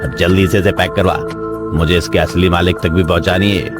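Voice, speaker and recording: A man's voice: deep voice, steady tone, brisk, over loud music, natural voice. A man playing a cocky male police sub-inspector in an audio story, sarcastic and grumbling. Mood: irritated, bossy. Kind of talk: dramatic audio story acting.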